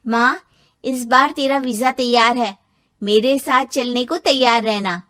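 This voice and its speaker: A woman voicing a confident young sister-in-law with a needling manner in an animated moral story. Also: fairly high pitch, measured pace, dry recording, studio quality. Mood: chirpy, teasing.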